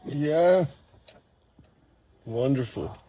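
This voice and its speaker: A man playing a spaced-out teenage stoner in a comic script read, in a husky, halting drawl. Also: medium pitch, expressive, warm tone, full character voice. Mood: dazed, laid-back. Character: spaced-out stoner teenage boy behind the wheel. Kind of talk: comic screenplay table read.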